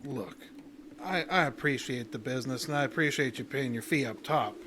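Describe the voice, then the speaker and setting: A man's voice: medium pitch, normal speed, noisy background, natural voice. An actor playing a guarded, plain-spoken fishing guide haunted by his past, talking in a folksy rural way in an audio drama. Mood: blunt, wary. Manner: folksy, ungrammatical rural small-town talk.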